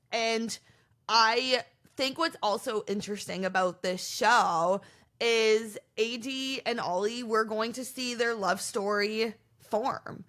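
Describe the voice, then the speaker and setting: A woman's voice: a little high, bright, forceful tone. A young Canadian woman with a Canadian accent, hosting a podcast and chatting casually and rambling with lots of "like" and restarts. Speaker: podcast host. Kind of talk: casual solo recap chat.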